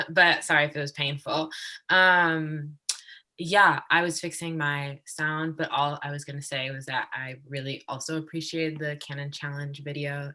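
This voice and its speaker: Low, bright-voiced woman on a panel. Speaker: discussion panelist. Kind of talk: casual discussion on a webcast.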